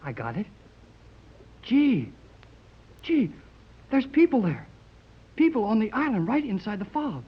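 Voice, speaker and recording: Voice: mid-range voice, sing-song, measured pace, long pauses, husky. An actor playing an eager, loyal teenage boy adventurer in a 1930s radio drama, with slangy enthusiasm. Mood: thrilled. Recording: muffled, noisy 1930s radio recording.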